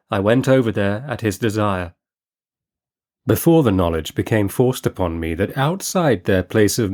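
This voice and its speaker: A man reading a classic short story as an audiobook narrator, in measured, deliberate storytelling. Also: lowish voice, a little mellow. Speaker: audiobook reader.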